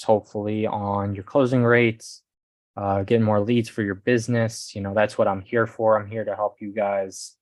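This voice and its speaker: Low-pitched man, fairly even, casual livestream host. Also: dry recording. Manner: rambling, often tags sentences with "okay" and "guys". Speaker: host of a business coaching livestream.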